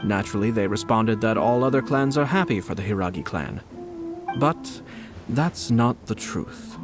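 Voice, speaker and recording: An actor playing a composed young male noble commissioner who speaks in a calm, measured, courteous way in a game cutscene. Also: lowish voice, lightly acted. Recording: dialogue over music score. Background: orchestral game music.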